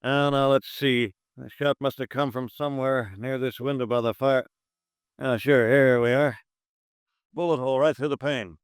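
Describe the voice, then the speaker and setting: A man's voice: medium pitch, steady tone, normal speed, clear, resonant tone, no room sound, clean studio sound, close to an everyday voice. An actor playing an officious young male police sergeant in a 1950s radio drama, brusque and dismissive. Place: studio.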